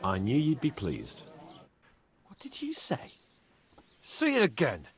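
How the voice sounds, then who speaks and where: An actor playing a pompous, excitable young nobleman in a video game, theatrical and quick to indignation. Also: medium pitch, breathy edge, full character voice. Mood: angry, offended. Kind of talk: dramatic video game dialogue.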